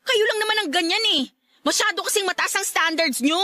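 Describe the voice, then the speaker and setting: A high-voiced, earnest young daughter. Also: female voice, brisk, pushed and bright, dry recording, clean studio sound. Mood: pleading, defiant. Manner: pleading, earnest, polite but defensive. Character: young adult daughter, respectful but stubbornly defending her love. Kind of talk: Filipino radio drama acting.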